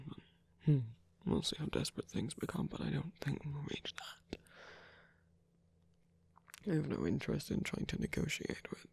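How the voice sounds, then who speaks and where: A man playing a weary, soft-spoken rebel villain and lover in an intimate ASMR roleplay, speaking haltingly in a breathy near-whisper. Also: a little high, exaggerated voice. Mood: reluctant, stubborn. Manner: hesitant, halting, murmured with pauses.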